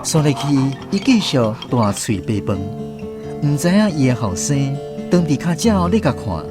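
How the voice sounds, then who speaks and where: A man with a Taiwanese accent narrating a scripted radio drama as a grown son, reflective and expressive. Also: medium pitch. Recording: music behind the voice.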